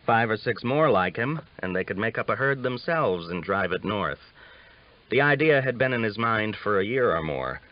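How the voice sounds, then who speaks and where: Male audiobook narrator for a western novel, lowish voice, dull lo-fi recording. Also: animated, moderate pace, no echo.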